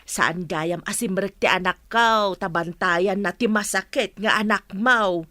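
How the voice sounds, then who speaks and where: A woman's voice: medium pitch, a little slow, pushed and bright, no echo, clean studio sound. An actress playing a nagging, outspoken middle-aged mother in a radio drama, exclaiming, scolding and wheedling. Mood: complaining.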